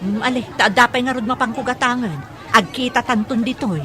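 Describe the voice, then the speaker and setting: A woman's voice: fairly high pitch, brisk, music in the background, crowd noise, close to an everyday voice. A woman playing a practical, friendly trader speaking matter-of-factly in a radio drama.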